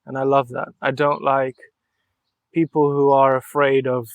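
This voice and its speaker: A young man with a mid-range voice chats in a relaxed way as a podcast guest. He speaks earnestly and thoughtfully, letting his sentences run on. The sound is dry and close, with no room echo. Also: medium pitch, measured pace. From Mallorca, Spain. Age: young adult. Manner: earnest and reflective, thinks aloud in long flowing sentences. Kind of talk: relaxed interview conversation.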